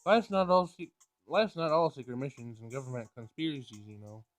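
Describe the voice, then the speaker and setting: A man doing a casual game playthrough, reading dialogue aloud and thinking out loud in informal, slangy language. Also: medium pitch, animated, brisk, dry recording. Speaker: let's play gamer.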